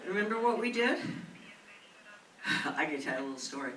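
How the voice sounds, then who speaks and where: Older woman, bright voice, chatty and rambling, distant mic in a roomy hall. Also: fairly low pitch, very animated, brisk, slight background noise. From Maine. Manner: chatty, rambling, asks the audience to remember. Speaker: honoree's friend and speaker. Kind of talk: casual reminiscing speech. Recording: echoey room picked up from afar.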